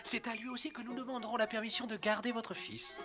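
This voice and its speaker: An actor playing a kindly, excitable monastery priest in a cartoon dub. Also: male voice, extremely high pitch, fast. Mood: surprised, amused. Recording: music behind the voice.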